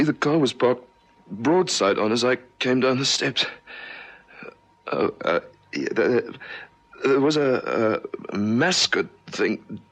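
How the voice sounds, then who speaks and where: A young newspaperman in a radio play, shaken and apologetic. His mid-pitched voice is husky and halting, tripping and repeating itself as he explains. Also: fairly bright tone, heavily acted, poor audio. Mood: unsure, apologetic. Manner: hesitant, stammering, halting. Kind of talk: 1970s radio drama acting.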